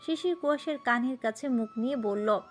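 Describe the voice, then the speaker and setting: A woman's voice: on the high side, brisk, soft background music. A woman narrating a romantic story from a script.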